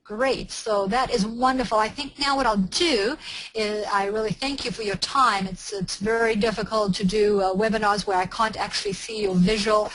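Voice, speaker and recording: A woman's voice: medium pitch, fairly even intonation, normal speed, a bit bright, no room sound, rough recording. A woman, presenting an online training webinar in a careful, explanatory way with frequent fillers and restarts. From South Africa.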